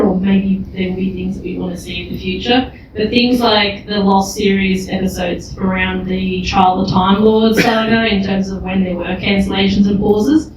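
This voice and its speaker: A woman giving a measured, articulate opinion at a live panel discussion. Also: medium pitch. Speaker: panel speaker. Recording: very echoey room, distant, clear background noise.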